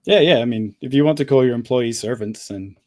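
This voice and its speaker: A man's voice: medium pitch, a little fast, a little mellow, no room sound. A man in his forties who grew up in the Middle East, answering questions with easygoing dry humor. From Middle East, Scottish parents. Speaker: guest. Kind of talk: casual interview chat.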